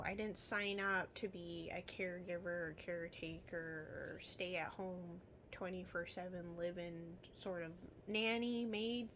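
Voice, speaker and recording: A woman with a Midwestern American accent rambles casually as if vlogging, often drifting into asides. Her mid-pitched voice has a lot of gravelly fry. There is faint noise in the recording. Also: fairly bright tone. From Illinois, United States. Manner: rambling, with frequent trailing asides. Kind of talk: casual rambling vlog talk.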